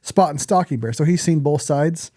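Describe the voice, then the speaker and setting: A man's voice: fairly high pitch, moderate pace, dark tone, dry recording, clean studio sound. A man co-hosting a podcast in casual conversation, talking bluntly and plainly with some casual swearing. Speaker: podcast co-host.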